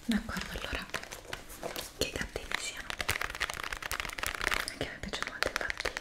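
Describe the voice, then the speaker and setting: A woman whispers gently and breathily, unhurried, in an ASMR shop assistant roleplay. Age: 20s. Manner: gentle, unhurried, speaking as if to a customer. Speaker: ASMR creator playing a stationery shop assistant.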